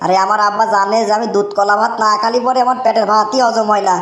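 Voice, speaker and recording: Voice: medium pitch, steady tone, fast talker, a bit bright, lightly acted. A performer voicing a whiny, greedy young village man in a comic cartoon, exclaiming and repeating in a dialect. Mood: complaining. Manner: exclamatory, whining, repetitive. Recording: roomy echo, faint background noise.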